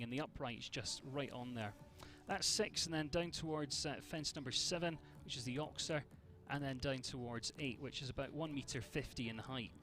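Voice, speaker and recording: A man's voice: medium pitch, fairly bright tone. A man from Scotland with a Scottish accent, giving chatty live commentary on a show jumping event and greeting viewers by name.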